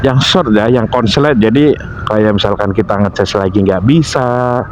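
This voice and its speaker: A man's voice: fairly low pitch, normal speed, slight background noise. An Indonesian man giving informal, instructive vlog advice, often repeating himself and searching aloud for words. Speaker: motovlogger giving gear care tips. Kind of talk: informal explanatory vlog talk.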